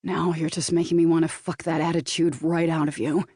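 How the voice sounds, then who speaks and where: A woman voicing a shrewd, teasing male vampire lover in audiobook dialogue, knowing and flirtatious in a low-key way. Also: little pitch movement, fairly quick, dark tone, slightly rough, no room sound, studio quality.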